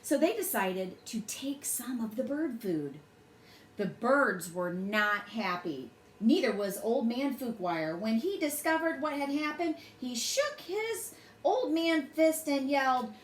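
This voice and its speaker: Animated, slow-paced woman reading a bedtime story. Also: high voice. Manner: deliberate, dramatic phrasing for children. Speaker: children's storyteller, host. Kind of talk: expressive bedtime story read-aloud. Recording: roomy echo, faint background noise.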